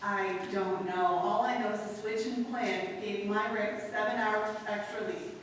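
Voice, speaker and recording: A woman's voice: medium pitch, fairly even intonation, a little slow, slight background noise, lightly acted. A woman acting a tough, slangy war widow who talks bluntly and impatiently, in a live old-time radio play. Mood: wistful. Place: live stage performance. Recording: echoey hall, mic heard from far.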